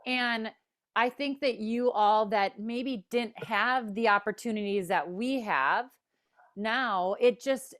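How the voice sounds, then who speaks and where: An enthusiastic woman with a Minnesota accent, her voice sitting a little high. She leads a panel conversation over a video call, cheering on her guests as she introduces them. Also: fairly bright tone. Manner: upbeat, enthusiastic, quick restarts. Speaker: host. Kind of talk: panel interview hosting.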